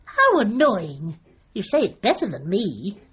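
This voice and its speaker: An actress playing a hapless middle-aged widow in a radio comedy drama, timid, chatty and apologetic, with a British accent. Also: female voice, mid-range voice, huge pitch swings, unhurried, highs cut off, slight background noise. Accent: British English. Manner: timid, apologetic, wistfully comic. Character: meek, hapless, chatty widowed woman dog owner.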